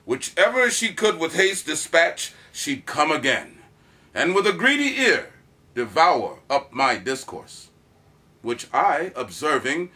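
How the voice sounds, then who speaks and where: Guy acting proud shakespeare soldier, stagey and declamatory, bright forceful voice, highish pitch. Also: very animated, slow pace, heavily acted. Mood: tender, storytelling. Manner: declamatory, dramatic emphasis. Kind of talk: theatrical Shakespearean monologue.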